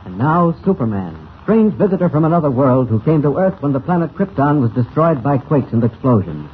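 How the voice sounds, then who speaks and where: A man's voice: mid-range voice, very animated, fairly quick, phone-quality sound, natural voice. A man narrating a superhero serial in dramatic, declamatory 1940s radio style. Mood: dramatic, rousing. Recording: thin, noisy old radio transcription.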